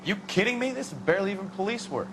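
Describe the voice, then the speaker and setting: A grumbling American detective's partner in a crime game. He is sarcastic, quick and fairly high-pitched, with noticeable vehicle noise behind him. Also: very animated. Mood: exasperated. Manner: sarcastic, griping. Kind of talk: video game cutscene acting.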